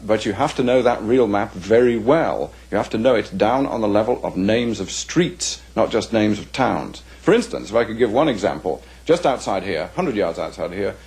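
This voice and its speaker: A man with an English accent giving unscripted scholarly commentary in an interview, speaking in a deliberate, halting, explanatory way. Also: on the low side, a little mellow, husky.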